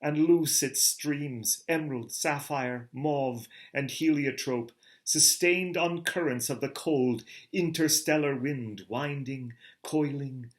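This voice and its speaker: A middle-aged man giving a theatrical reading of a novel, moving from hushed murmurs to booming calls. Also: slow, few pauses. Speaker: reader of a novel. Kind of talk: dramatic literary reading.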